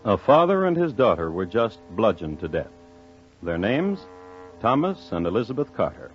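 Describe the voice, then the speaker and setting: An actor narrating a 1950s radio crime drama as a dry-witted, deadpan storyteller with formal, measured phrasing. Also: male voice, lowish voice. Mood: droll, measured. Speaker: host and narrator. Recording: dull old radio recording. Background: faint music.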